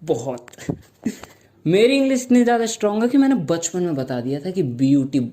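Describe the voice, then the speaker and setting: A young man performing a stand-up comedy set, telling stories with slow mock-grand stretches and playful sound effects. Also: high-pitched, very animated, heavy background noise.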